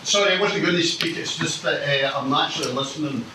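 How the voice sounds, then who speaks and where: A man's voice: on the high side, fairly quick, recorded from a distance, background noise. Scottish man in a very echoey hall. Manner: meandering, anecdotal, pointed. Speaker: audience member. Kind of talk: audience question at a panel. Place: conference hall. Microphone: distant mic in a room.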